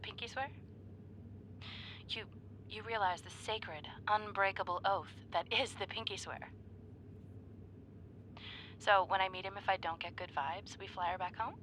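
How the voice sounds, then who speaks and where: A woman playing an excitable, playful young woman on a date, in a conversational, halting roleplay style with teasing, gushing delivery. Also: mid-range voice, slight background noise, natural voice, rough recording. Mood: playful, curious. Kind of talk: ASMR roleplay acting, one side of a dialogue.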